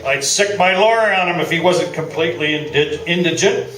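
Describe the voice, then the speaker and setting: Man playing a pompous, high-pitched veteran sports columnist. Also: brisk, fairly bright tone, faint music behind, close to an everyday voice. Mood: smug. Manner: boastful, grandiose. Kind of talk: live stage radio play. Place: theatre stage before an audience. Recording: echoey theatre from far off, audience noise.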